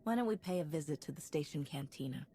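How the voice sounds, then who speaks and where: A woman's voice: sits low, lively intonation, fast talker, warm tone, a little hiss or hum. A woman playing a resolute, compassionate Jedi heroine in a video game, composed and earnest. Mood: concerned, reassuring.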